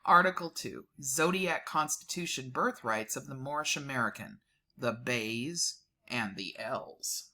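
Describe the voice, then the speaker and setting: A woman hosting a dramatic reading of a document, reading deliberately and breaking off for informal explanatory asides. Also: a bass voice, animated, sharp tone. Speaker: host reading a document aloud.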